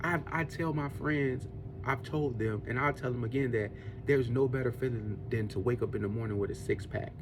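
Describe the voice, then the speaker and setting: A man in his forties speaks in an earnest, preachy way, delivering a faith-based motivational monologue. His pitch sits in an ordinary middle range. A clear rumble of a vehicle runs underneath the recording. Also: moderate pace. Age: 40s. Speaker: podcast host.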